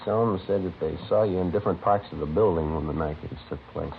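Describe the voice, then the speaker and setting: An American actor playing a calm, methodical police sergeant in a 1950s radio drama, speaking in an understated, matter-of-fact, clipped way. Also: male voice, low voice, quick pace, gravelly, a little hiss or hum. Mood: probing. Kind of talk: 1950s radio drama acting, deadpan narration and dialogue. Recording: muffled old-time radio recording, music behind.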